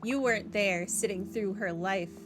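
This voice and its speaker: A woman hosts a game playthrough with casual, conversational commentary. Her voice sits at a middle pitch, with game music clearly playing behind it. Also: normal speed. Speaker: gaming video host.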